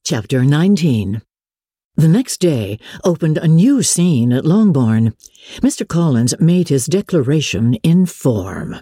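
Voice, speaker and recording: Husky, mellow woman narrator, expressive. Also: sits low. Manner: measured, theatrical, deliberate phrasing. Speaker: audiobook narrator. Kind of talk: dramatic literary narration. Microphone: close studio microphone.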